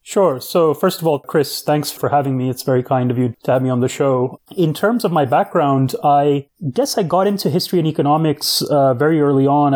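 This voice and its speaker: A man born in Pakistan, a guest on an interview show, explaining history at a measured pace with occasional fillers. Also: medium pitch, a little mellow. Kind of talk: podcast interview answers.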